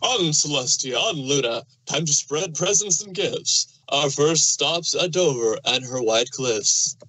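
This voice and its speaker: A man's voice: a little high, animated, unhurried, pushed and bright, no room sound, full character voice, poor audio. A man voicing a jolly old Santa Claus, kindly and hearty, telling his lines in storybook rhyme. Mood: hearty, commanding. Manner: hearty, theatrical storytelling in verse. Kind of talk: rhyming storybook fan fiction reading.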